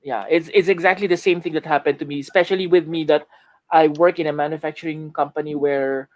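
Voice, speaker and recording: A man's voice: on the high side, fairly even intonation, quick pace. A man hosting a panel discussion, asking follow-up questions in a conversational way. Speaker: host.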